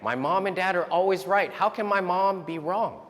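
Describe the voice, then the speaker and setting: A man whose first language is Vietnamese, giving an animated motivational talk to parents and often ending points with a quick "right?". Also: sits high. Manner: animated, tags statements with "right?". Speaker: speaker giving a talk on resilience. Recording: long hall reverb.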